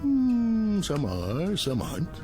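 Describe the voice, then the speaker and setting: An actor playing an eccentric professor who sells potions, dry, cryptic and theatrical, in a vintage television drama. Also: male voice, high voice, wildly swooping pitch, slow pace, mellow, soft background music, exaggerated voice. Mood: dry, dismissive. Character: eccentric, sardonic professor selling costly potions.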